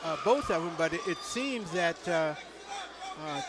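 A man's voice: a little high, animated, unhurried, noisy background. A man giving analytical live boxing commentary from ringside in an indoor arena. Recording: loud arena crowd behind voice.

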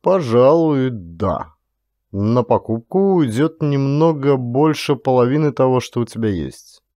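Audiobook role: male reader as a compassionate protector, steady and mellow, dry close sound. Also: mid-range voice, measured pace, no echo, close to an everyday voice. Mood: practical, helpful.

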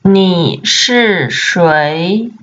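Woman teacher, extremely slow, lively pitch, repeats each word for learners, dull lo-fi sound. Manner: slow, deliberate repetition of words for learners. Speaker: language teacher. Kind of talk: vocabulary drill reading.

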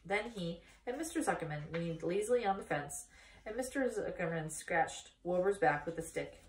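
Expressive woman reading aloud, echoey room. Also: on the low side, normal speed, slight background noise. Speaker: storytime reader. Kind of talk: children's book read-aloud. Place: small indoor room, seated by a wall. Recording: echoey room, distant microphone.

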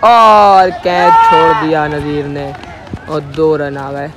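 A man's voice: fairly high pitch, slow, heavy background noise. A man giving ball-by-ball commentary on an amateur street cricket match, calling each delivery in a repetitive set pattern, recorded outdoors on a phone.

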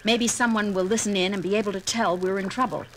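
An actress playing a composed female communications officer who reports in a crisp, dutiful way in a 1970s audio drama. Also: medium pitch, steady tone, fast talker, slight background noise, natural voice.

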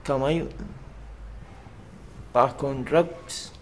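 A young man with a Glaswegian Scottish accent, giving personal testimony for a documentary in a halting, plain way with pauses and fillers. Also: mid-range voice, steady tone, slight background noise. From Scotland. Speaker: documentary interviewee. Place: outdoors on a city street at night.

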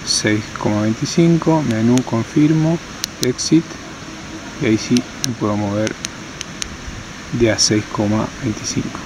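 A man's voice: mid-range voice, a little slow, long pauses, background noise. A man giving a hands-on tutorial outdoors, explaining step by step and repeating key terms. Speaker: tutorial presenter. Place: outdoors on stony ground.

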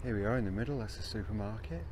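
A man in his fifties vlogging casually to a handheld phone while hiking outdoors, chatty and relaxed with some fillers. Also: fairly low pitch, very fast. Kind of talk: casual outdoor vlog to camera. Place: open fields and village streets outdoors. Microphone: phone held at arm's length. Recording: faint outdoor noise.

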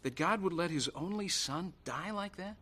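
A man's voice: high-pitched, animated, moderate pace, bright, forceful tone, full character voice. An actor playing a sincere, earnest and slightly hesitant young man in a radio drama. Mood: eager, earnest.

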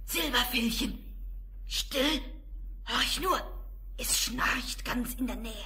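A mother goat from an old fairy-tale radio play. Her high voice is unhurried and full of motherly feeling, anxious and tender, with dramatic delivery. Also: bright, forceful tone, slight background noise, exaggerated voice. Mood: hushed, urgent. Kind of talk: 1960s German children's radio play acting.

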